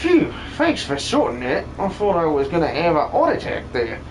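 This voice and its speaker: A man's voice: on the high side, wildly swooping pitch, moderate pace. A man voicing a cowardly, cheeky miner with a Cockney accent and slangy, theatrical delivery in a video game fan dub. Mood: relieved, grumbling. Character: cowardly, cheeky working-class male miner, grumbling and excitable. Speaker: streamer voice acting game characters. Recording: clearly noisy background.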